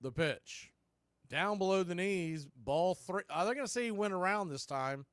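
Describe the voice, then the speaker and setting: A man doing live baseball play-by-play, mixing clipped pitch calls with conversational asides about other games. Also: animated, normal speed, fairly bright tone, clean tone, no room sound, studio quality. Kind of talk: live sports play-by-play commentary with scoreboard asides.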